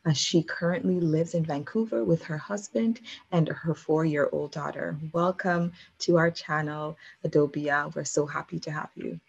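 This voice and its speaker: A woman's voice: low-pitched, fairly even intonation, moderate pace, gravelly, hardly any pauses, slightly rough, lo-fi sound. A woman speaking as the host of an interview show, introducing a guest and asking questions.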